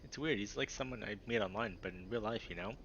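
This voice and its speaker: A man's voice: fairly low pitch, quick pace, creaky, a bit bright, dull audio, slight background noise. A man voicing a nerdy, snarky young creature from a visual novel, with dry, geeky banter. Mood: casual.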